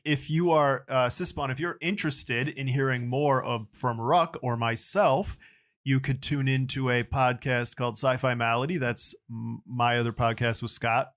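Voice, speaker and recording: A man's voice: mid-range voice, fairly even intonation, normal speed, fairly bright tone. Easygoing male podcast host. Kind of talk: casual podcast chat.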